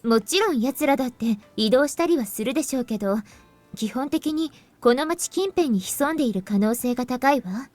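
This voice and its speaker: A woman's voice: high-pitched, lively intonation, very fast, darkish tone, soft background music. An actress voicing a businesslike young female team organizer in a visual novel, explaining matter-of-factly. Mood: brisk, explaining. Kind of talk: Japanese visual novel voice acting.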